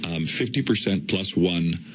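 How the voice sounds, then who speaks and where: A middle-aged Canadian man, a political candidate, giving deliberate, explanatory answers in a radio interview. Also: husky. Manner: deliberate, explanatory, campaign-pitch delivery.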